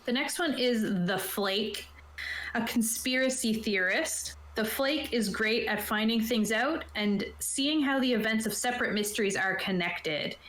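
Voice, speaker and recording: A woman's voice: a little high, measured pace, a bit bright, a little hiss or hum. A woman casually explaining a tabletop game, partly reading from a rulebook, in an informal, conversational way. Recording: roomy, echoey sound.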